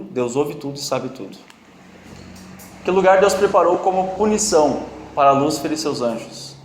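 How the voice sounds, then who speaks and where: A man with a strong non-native accent teaching a Bible study class, quizzing and teasing his students in a lively, joking way. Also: on the high side, slight background noise. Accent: strong non-native accent in Portuguese. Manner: jokey, quizzes and teases students. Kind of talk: interactive classroom teaching with questions to the group. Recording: echoey room.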